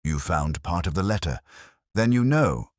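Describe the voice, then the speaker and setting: A man voicing an uneasy, hesitant Victorian gentleman in an audiobook, formal and guarded. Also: low voice, sing-song, normal speed, no room sound, studio quality. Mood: shaken.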